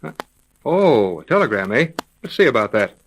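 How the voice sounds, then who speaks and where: An actor playing an eager, questioning detective's assistant in a 1930s radio serial, informal and inquisitive. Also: male voice, medium pitch, very animated, normal speed. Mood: curious.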